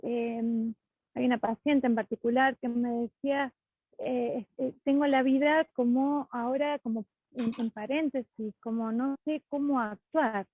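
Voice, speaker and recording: A woman with a husky voice, hesitantly describing a case over a tinny online call, repeating words as she goes. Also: fairly high pitch, steady tone, unhurried, no echo. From Ecuador. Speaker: participant, psychologist asking a question. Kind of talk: spontaneous question describing a case. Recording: tinny phone-like line.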